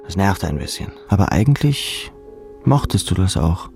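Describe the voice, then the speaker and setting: A man's voice: deep voice, sing-song, moderate pace, creaky, a little mellow, soft background music. A man reading second-person literary narration as an audiobook narrator.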